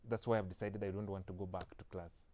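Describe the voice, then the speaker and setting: Low-voiced Kenyan man, hesitant. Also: steady tone, fast, mellow. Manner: hesitant, restarts often, laughs lightly. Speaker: author guest. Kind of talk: casual interview.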